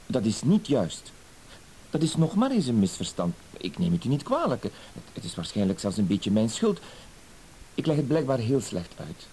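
Actor as a mellow, timid, pleading husband, hissy recording. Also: male voice, mid-range voice, big pitch swings, moderate pace, lightly acted, rough recording. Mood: flustered, indignant. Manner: apologetic, hesitant, wordy, polite. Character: timid, pleading, dutiful cuckolded husband, wounded dignity. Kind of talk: Dutch-language radio play acting. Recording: hissy old radio play recording.

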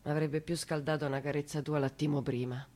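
A woman's voice: low voice, flat delivery, brisk, lightly acted. A woman playing a grieving, bitter lone mother in a theatrical monologue, with a Roman accent and intimate, broken, repetitive delivery swinging from murmurs to cries. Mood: bitter resignation.

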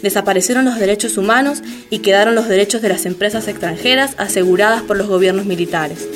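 A woman's voice: mid-range voice, fast talker, over loud music. A woman narrating a radio drama in a scripted documentary style.

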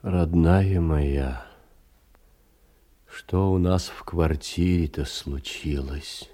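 An actor playing a humble, ageing poor clerk in a theatrical monologue, folksy, tender, rambling and compassionate. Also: male voice, very deep voice, very slow, dark tone, full character voice. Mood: troubled, tender. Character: humble, kind-hearted, ageing poor clerk sharing sad news. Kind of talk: theatrical monologue, letters read as drama.

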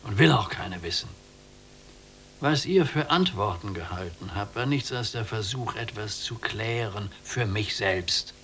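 A world-weary old prisoner, swooping intonation. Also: male voice, lowish voice, expressive, unhurried, fairly bright tone, a little hiss or hum. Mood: cryptic, weary. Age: older. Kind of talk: literary radio play acting.

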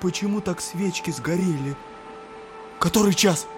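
An actor playing a feverish young intellectual, tormented, mocking and agitated, in an audio drama. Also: male voice, high voice, measured pace, music in the background. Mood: confused, uneasy. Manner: agitated, exclamatory, derisive.

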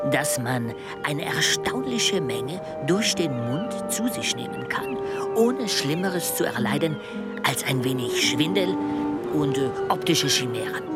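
An actor playing an earnest travel companion who recounts a jungle expedition in measured, literary radio play narration. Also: male voice, a little high.

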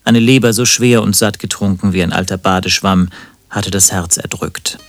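Wry morgue-worker narrator: low, composed, deadpan storytelling, very close dry recording. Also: male voice, no room sound, soft background music. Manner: measured, deadpan. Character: wry, composed male first-person narrator working in a morgue. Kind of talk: scripted radio play narration.

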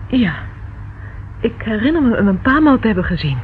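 Anxious, earnest young woman for radio drama: pleading, very animated intonation, dull old recording. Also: on the high side, brisk, slight background noise. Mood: uneasy, earnest. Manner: pleading, hesitant, emotional. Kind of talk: Dutch radio play acting.

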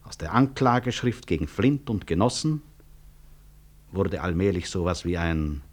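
An actor playing a weary, proud physician-professor in a 1960s German radio drama, husky, reflective and articulate, with wry self-mockery. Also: male voice, low voice. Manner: reflective, articulate, deliberate stage delivery.

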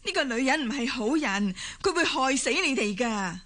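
A woman's voice: high voice, lively intonation, moderate pace, fairly bright tone. An actress playing a stern, vengeful old grandmother in a radio drama, accusing and stubborn, with a Hong Kong Cantonese accent. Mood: angry, accusing.

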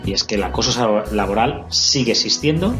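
A man's voice: on the low side, expressive, brisk, fairly bright tone. A man explaining labour issues in a serious, expository style, as a union member answering questions. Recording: clear music bed behind the voice. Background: music.

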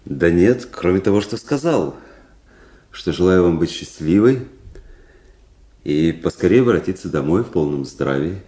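An actor playing a proud, cold, world-weary young officer in a radio play, speaking in a measured, reflective, formal manner. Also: male voice, low-pitched, lively intonation, mellow, breathy edge, full character voice. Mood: cold, curt. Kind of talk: radio play acting, literary narration.

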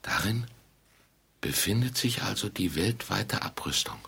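An actor playing a resigned, bemused nobody in a radio drama, musing vaguely in a rough, husky voice. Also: male voice, fairly low pitch, animated, a little slow, sharp tone, heavily acted. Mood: musing, puzzled. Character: vague, unremarkable lonely everyman, resigned and bemused. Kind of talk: theatrical radio drama dialogue.

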